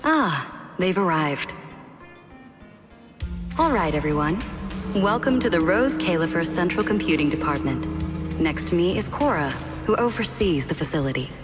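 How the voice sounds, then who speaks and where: Woman acting a composed guide, low voice. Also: moderate pace, pauses often, close to an everyday voice. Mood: friendly, reassuring. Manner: calm, articulate, courteous. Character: composed, helpful female staff guide, politely professional. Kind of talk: explanatory video game dialogue. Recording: loud music behind.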